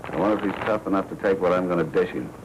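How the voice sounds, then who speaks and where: Low-voiced menacing noir crime boss, acted by a man. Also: racing, natural voice. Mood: sardonic. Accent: American. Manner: sardonic, needling, tough slangy talk. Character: menacing, sardonic crime boss, jealous husband. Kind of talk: 1940s film noir acting. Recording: noisy old 1940s film soundtrack.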